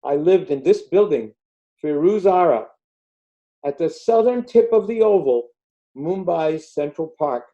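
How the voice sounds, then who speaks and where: An older man from India tells his story in a slow, measured way, pausing between phrases. His voice has a very dark, mellow color. It comes through a video call with slightly muffled, lo-fi sound. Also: fairly high pitch, expressive. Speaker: guest speaker. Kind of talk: prepared talk with slides.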